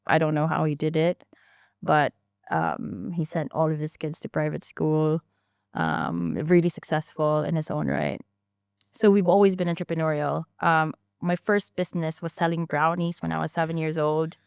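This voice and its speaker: A woman's voice: low voice, level tone, normal speed, gravelly, a little mellow, clear, resonant tone, no room sound, clean studio sound. A woman in her thirties, a founder telling her story in a casual interview, chatty, with frequent restarts and fillers. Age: 30s. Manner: chatty, many restarts and fillers, laughs easily. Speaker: founder and guest.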